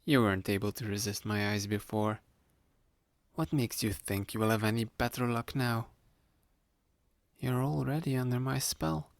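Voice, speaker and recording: Sly young male roommate, acted by a man: playful, manipulative hypnotist for ASMR roleplay, creaky voice, close dry mic, unhurried delivery. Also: mid-range voice, steady tone, no echo, lightly acted. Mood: sly, teasing. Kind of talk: ASMR roleplay, addressed to the listener. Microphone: close studio-style microphone, dry.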